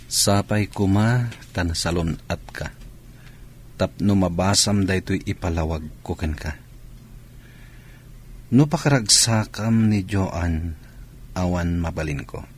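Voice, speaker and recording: Guy acting a deep slow brooding hurt man, solemn radio drama, bit of noise. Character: hurt, brooding husband or lover, grave and bitter.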